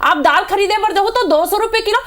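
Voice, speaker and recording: A woman with a very high voice and a Chhattisgarhi accent argues in a heated political debate. She is combative and insistent, hammering her points through repetition like a party spokesperson on a panel. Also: brisk, fairly bright tone. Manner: combative, insistent, repeats phrases for emphasis.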